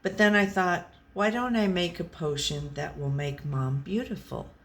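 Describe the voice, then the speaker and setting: Slow, deadpan storybook pet read by a woman. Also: lowish voice. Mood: dry, tolerant. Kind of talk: scripted storybook narration.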